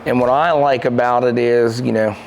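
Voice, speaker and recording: A man's voice: mid-range voice, normal speed, a little hiss or hum, low-quality recording. A man in his thirties in casual chat, telling stories with lots of gestures in a lodge room with a stone fireplace. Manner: animated, gestures a lot, rambling storytelling. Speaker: podcast co-host and shooting club manager.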